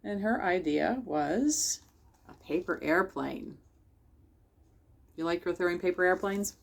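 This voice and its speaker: A woman's voice: on the low side, expressive, takes it slowly, gravelly. A woman hosting a children's storytime, reading a picture book aloud and chatting directly to young listeners. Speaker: library storytime host.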